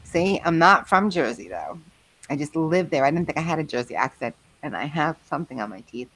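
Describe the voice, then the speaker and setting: A woman's voice: sits low, big pitch swings, fairly quick, a bit bright, slightly rough, no echo, rough recording. A middle-aged woman from New York hosting a livestream, chatting casually, rambling between topics and reading out the chat with frequent laughs. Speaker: livestream host.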